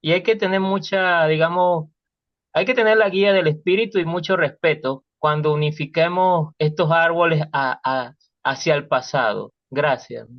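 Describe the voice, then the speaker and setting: Venezuelan man, class participant, earnest, somewhat high voice, dull lo-fi line. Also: normal speed, no echo. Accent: Venezuelan Spanish. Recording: dull lo-fi call audio.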